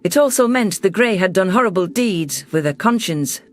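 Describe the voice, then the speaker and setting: A man with a notably high voice reads first-person science fiction in a steady, measured, scripted manner. His delivery stays even throughout the narration. Soft music plays faintly beneath him.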